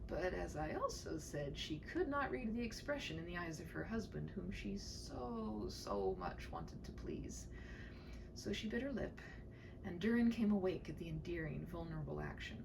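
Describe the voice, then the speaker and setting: A woman narrates a story with great feeling, her pitch swinging widely and a creaky grain in the voice. She is tender and hushed, leaning on dramatic pauses. The mic sits a little way off, with faint noise behind her. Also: big pitch swings, distant mic. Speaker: storyteller reading her own short story.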